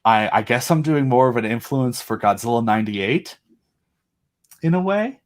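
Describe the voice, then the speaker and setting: A man's voice: mid-range voice, animated, normal speed. A man talking casually as a podcast guest, earnest and rambling as he thinks aloud. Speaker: podcast guest, independent audio drama creator. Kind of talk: casual chat.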